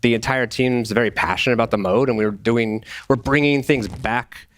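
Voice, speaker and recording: A man's voice: medium pitch, normal speed, a bit bright, dry recording. A man speaking as a game developer in a casual panel discussion, with informal phrasing full of "like". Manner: informal, says "like" often.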